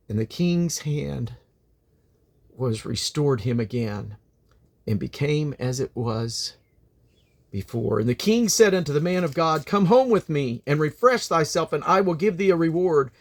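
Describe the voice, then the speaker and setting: A man's voice: fairly high pitch, expressive, measured pace, pauses often. A man reading the Bible aloud as a dramatic narrator, declaiming quoted speech with emphasis. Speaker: Bible reader.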